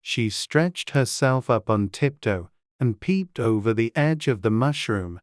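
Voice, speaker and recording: An unhurried storyteller reading a classic tale aloud, slow and measured with gentle expression. The voice sits at a middle pitch and sounds dry and close to the mic. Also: animated, dry recording. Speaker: audiobook narrator. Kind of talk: scripted storybook narration.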